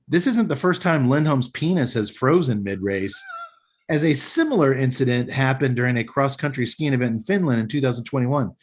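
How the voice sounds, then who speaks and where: A male podcast host talks with a lively, swinging pitch. He reads out a news item in a joking, wisecracking way, riffing with his friends between sentences. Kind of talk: casual comedic banter.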